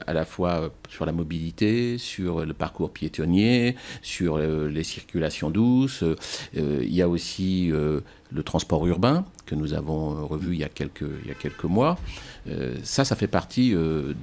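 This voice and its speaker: Male local politician, very deep voice. Halting interview delivery, emphatic repetition. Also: a little mellow. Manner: halting, repeats words for emphasis. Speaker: town mayor.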